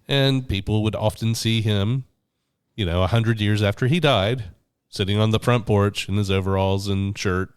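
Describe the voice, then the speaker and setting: A man's voice: fairly low pitch, moderate pace, clean tone, no echo. A man in his forties, getting over the flu, co-hosting a faith podcast and telling a story thoughtfully. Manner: storytelling, thoughtful, with frequent restarts. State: recovering from flu, voice deeper than usual. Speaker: podcast co-host, minister. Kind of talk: casual faith podcast chat.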